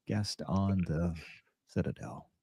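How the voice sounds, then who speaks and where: A man's voice: low-pitched, fairly even intonation, slow, mellow, no room sound. A man co-hosting a casual live stream, reading viewer comments aloud and joking around. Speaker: co-host reading viewer chat.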